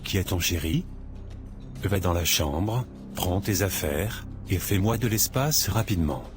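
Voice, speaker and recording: Man playing a harsh, deep-voiced, contemptuous husband. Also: lively intonation, a little slow, music in the background. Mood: cold contempt. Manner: harsh, commanding, insulting. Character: cruel, contemptuous husband throwing out his wife. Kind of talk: animated moral drama acting.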